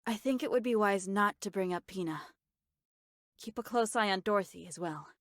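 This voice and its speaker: A woman's voice: medium pitch, moderate pace, no echo, clean studio sound, natural voice. An actress playing a cool, pragmatic, watchful female squad member in an anime game, composed and matter-of-fact. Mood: quietly concerned. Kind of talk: scripted anime game voice acting.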